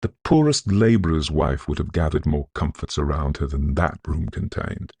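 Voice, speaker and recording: A man narrating a literary ghost story in a measured, solemn, scholarly manner, with a gravelly, husky voice. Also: very deep voice, expressive, darkish tone, no room sound. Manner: measured, deliberate, solemn delivery.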